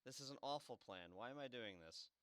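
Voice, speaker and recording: A man's voice: medium pitch, animated, brisk, a bit bright, no room sound, clean studio sound. A man chatting casually as he plays a game, with a sarcastic, wry manner. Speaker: game player and commentator. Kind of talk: casual let's-play banter.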